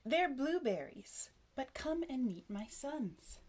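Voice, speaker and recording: A woman voicing a tiny, cheerful old man, a kindly berry king in a storybook, with a jolly, brisk, welcoming manner. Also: high voice, big pitch swings, a little mellow, pitched above the performer's own voice, full character voice. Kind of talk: storybook character voice.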